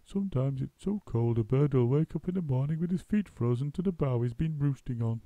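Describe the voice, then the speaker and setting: Man playing a wise friendly bird, calm, reassuring, gravelly, lively. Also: medium pitch, a little fast, dry recording, dull audio, voice pushed up, natural voice. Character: wise bird, kindly and knowing.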